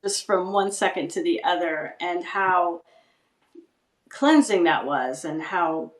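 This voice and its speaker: A woman guest speaking reflectively about her writing and family history, often pausing and restarting. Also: fairly low pitch, expressive, reverberant. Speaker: guest writer. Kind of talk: casual interview chat.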